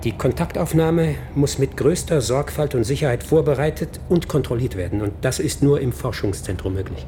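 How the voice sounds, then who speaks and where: An actor playing a weary, haunted fugitive scientist in a German science-fiction radio drama, speaking gravely and formally. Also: male voice, mid-range voice, moderate pace, few pauses, warm tone, slight background noise, lightly acted. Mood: grave, resolute.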